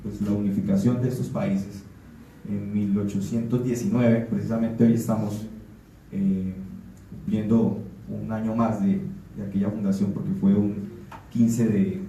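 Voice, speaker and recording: A man's voice: on the low side, level tone, measured pace, warm tone, a little hiss or hum. A man from Colombia giving an earnest talk at a forum, often restarting and repeating words. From Colombia (Putumayo). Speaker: panel speaker. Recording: echoey room, speaker far from mic.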